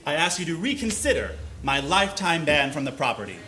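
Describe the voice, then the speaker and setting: High, animated man reading comedy on stage. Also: a little fast. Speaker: live reader of a humorous letter. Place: live stage show. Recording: live stage recording with faint noise.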